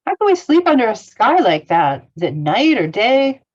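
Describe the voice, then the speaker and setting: A woman's voice: a little high, very animated, normal speed, a little mellow, highs cut off. A woman playing a weary, burdened young male hobbit in a script read, plaintive in manner.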